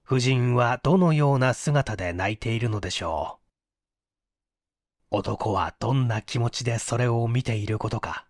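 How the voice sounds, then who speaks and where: A man's voice: mid-range voice, normal speed, no room sound, clean studio sound. A man narrating a literary mystery story in a dramatic audiobook style. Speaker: audiobook narrator, stage performer.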